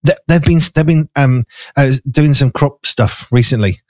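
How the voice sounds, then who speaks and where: A man with a raspy, gravelly, hoarse voice, an animated radio host chatting effusively with many restarts. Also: medium pitch, normal speed, no echo. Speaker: radio host and magazine editor. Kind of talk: casual conversation. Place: farmhouse.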